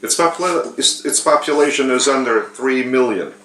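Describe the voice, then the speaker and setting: A man from Lithuania, speaking English with a Lithuanian accent, giving an unscripted spiritual sermon with many pauses and fillers. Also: medium pitch, animated, pushed and bright, echoey room, slight background noise, poor audio. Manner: slow, halting, with frequent pauses and fillers. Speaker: spiritual teacher.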